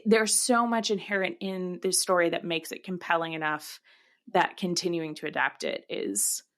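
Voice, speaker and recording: A woman co-hosting a casual discussion podcast, often pausing and searching for her words as she explains. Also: lowish voice, fairly even intonation, a bit bright. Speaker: podcast co-host. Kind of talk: casual book-adaptation discussion.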